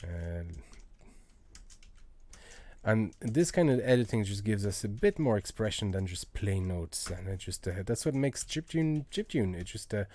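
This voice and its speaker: A low-voiced man chatting casually as he works through a live music-making tutorial, halting and thinking aloud. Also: warm tone, dry recording. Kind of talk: casual tutorial chat while working. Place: indoors at a computer.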